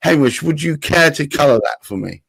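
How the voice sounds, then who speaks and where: A man hosting a live stream in casual, jokey chat, his voice slightly raspy from a cold. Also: fairly high pitch, lively intonation, moderate pace, a bit bright, dry recording, rough recording. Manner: jokey, playful asides. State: getting over a cold.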